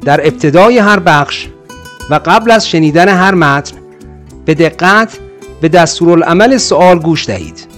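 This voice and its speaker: A man reads formal exam instructions very quickly in a slightly high voice. Faint music plays beneath his announcing. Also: expressive, fairly bright tone.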